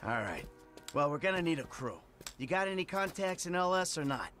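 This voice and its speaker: An actor voicing a cocky middle-aged ex-robber in a video game, talking loud, blunt and wisecracking. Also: male voice, on the high side, big pitch swings, brisk, music in the background. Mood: businesslike.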